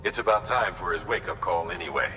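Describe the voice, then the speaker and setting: Long reverb and clear music surround a solemn commander in a video game. His voice is deep and authoritative, giving measured, formal instructions. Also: pushed and bright. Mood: grave briefing. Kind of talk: video game cutscene acting.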